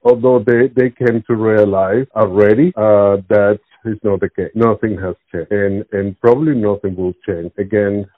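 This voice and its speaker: Halting Venezuelan man on a phone-like line. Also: fairly low pitch, steady tone, dry recording. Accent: Venezuelan Spanish. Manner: halting, searching for words, repeats himself. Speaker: interviewee. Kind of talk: spontaneous interview answers. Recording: tinny narrowband phone-like line.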